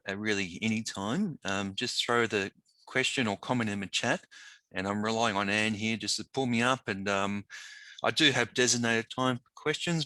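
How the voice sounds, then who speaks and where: Slightly croaky man, bright tone. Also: on the low side, no room sound. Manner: informal, conversational, with frequent ums and restarts. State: slightly hoarse. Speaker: webinar presenter, teacher of the deaf. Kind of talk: presenting a webinar lecture.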